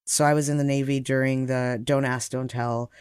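A man's voice: mid-range voice, flat delivery, moderate pace, smooth, no echo. American man reflecting in a dry-sounding interview. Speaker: podcast interview guest. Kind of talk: reflective personal storytelling in conversation.

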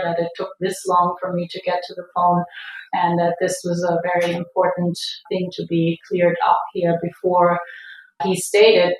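A middle-aged woman from Germany with a German accent, recounting her experience conversationally as an interview guest. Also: lowish voice. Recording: echoey room, distant from the mic.

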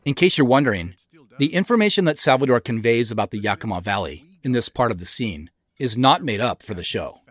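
Guy doing director's commentary, scripted n explanatory, lively intonation, close dry mic no room sound. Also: medium pitch, brisk, no echo. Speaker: show creator and commentator.

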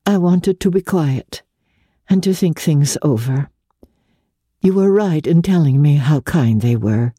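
A refined countess, as a woman narrator plays her, confides earnestly in a novel reading. Her voice is mellow and husky, with an anxious edge. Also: low-pitched, animated, a little slow, dry recording, clean studio sound, full character voice. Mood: earnest, confiding. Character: refined, anxious society countess writing a letter.

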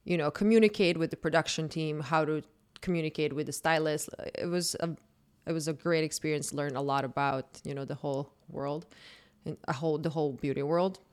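A woman, telling her life story in a casual podcast chat, animated and laughing often. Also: sits low, a little fast, clear, resonant tone. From Croatia. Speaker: podcast host and dating content creator.

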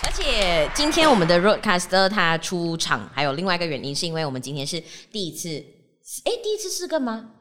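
A woman's voice: on the low side, very animated, brisk, a bit bright. A woman with a Malaysian accent co-hosting a casual podcast chat. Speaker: podcast co-host.

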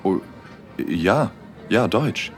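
An actor playing a dreamy, bashful young man, narrating an intimate audio drama in the first person in a soft-spoken way. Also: on the low side, animated, very slow, soft background music. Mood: flustered. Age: young adult.

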